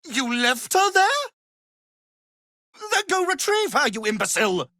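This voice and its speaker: An actor playing an arrogant, power-hungry wizard villain in a fantasy video game, with a haughty, theatrical, condescending manner. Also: male voice, very high-pitched, sing-song, unhurried, sharp tone, dry recording, clean studio sound, exaggerated voice. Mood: angry, demanding.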